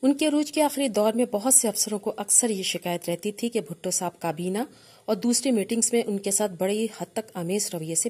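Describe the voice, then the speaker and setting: A woman's voice: mid-range voice, a little mellow, husky. Pakistani woman reading a memoir. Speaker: audiobook narrator reading a memoir. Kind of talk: scripted literary narration.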